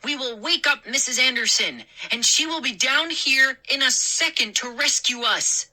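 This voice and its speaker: A woman's voice: fairly high pitch, a little slow, sharp tone, voice pushed up, exaggerated voice. A woman voicing an anxious young boy in a children's story. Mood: urgent. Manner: urgent, pleading.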